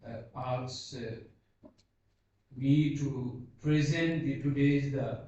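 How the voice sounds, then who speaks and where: A man whose first language is Bhoti gives an unscripted seminar talk, halting and searching for words as he speaks slowly and earnestly. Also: medium pitch, steady tone, mellow. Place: seminar hall. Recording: echoey hall, distant dull mic.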